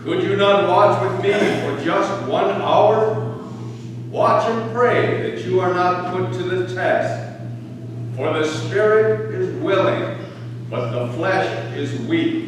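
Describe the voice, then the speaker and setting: A man acting a grave, sorrowful holy teacher facing his betrayers in a scripture drama. The voice is high for a man and very slow, declaimed solemnly, ringing through a large echoing church. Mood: sorrowful reproach. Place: large church during a service. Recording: very echoey church, distant mic, noisy.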